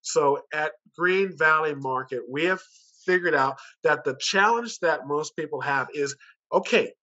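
A man's voice: on the high side, unhurried, sharp tone. A man giving an informal, persuasive sales presentation at an online conference, conversational and fond of rhetorical questions, speaking over a video call. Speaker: company director giving a sales pitch. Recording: dull, muffled video call audio.

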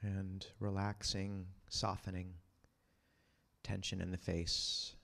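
A man leading a guided meditation as a monk, speaking gently and leaving long pauses between short instructions. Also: deep voice, level tone, creaky. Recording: long reverberant hall sound.

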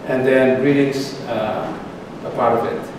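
A middle-aged man, a lecturer taking audience questions in measured speech with pauses and restarts. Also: medium pitch, fairly even intonation. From Solomon Islands. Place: large lecture theatre. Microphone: distant room mic. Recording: echoey hall from far off, background noise.

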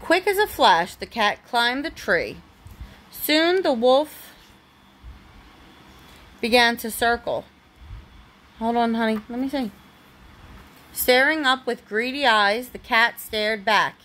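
A woman's voice: on the high side, expressive, takes it slowly, long pauses, a bit bright. A woman reading a storybook aloud expressively to a young child, as a parent does at bedtime.

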